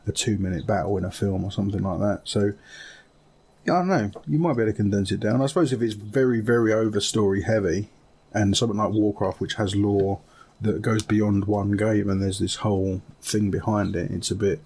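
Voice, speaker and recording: A man's voice: fairly low pitch, steady tone, a little fast, darkish tone, slight background noise. A man chatting casually and enthusiastically as a podcast co-host, talking in a loose, conversational way. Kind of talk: casual chat about video game films.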